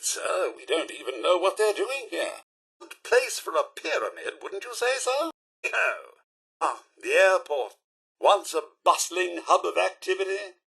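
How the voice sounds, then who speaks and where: Fussy British robot butler for a game, droll and pompous, bright raspy tone, wildly animated pitch. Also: male voice, exaggerated voice. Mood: earnest, concerned. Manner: pompous, florid, theatrically courteous. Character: polite, fussy male robot butler, droll and long-suffering. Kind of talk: video game character voice lines.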